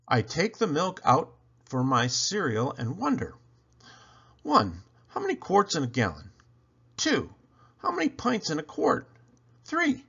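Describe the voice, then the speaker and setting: Guy doing a kids read-aloud, super expressive up and down voice, slow pace, theatrical, bit muffled recording. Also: medium pitch. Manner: theatrical, exaggerated emphasis on questions and lists. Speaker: storyteller reading a picture book aloud. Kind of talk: animated children's read-aloud narration.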